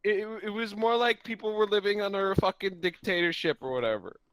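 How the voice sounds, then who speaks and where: A man's voice: high voice, fairly quick, a bit bright, a little hiss or hum, poor audio. A man giving casual joking commentary on a group stream, indignant and sweary.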